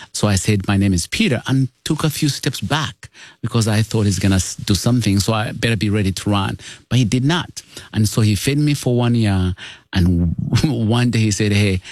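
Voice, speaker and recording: A man's voice: fairly low pitch, darkish tone, no room sound, studio quality. A man with a Ugandan accent, speaking as an interview guest and telling personal stories in a conversational way. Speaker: guest, foster father and author.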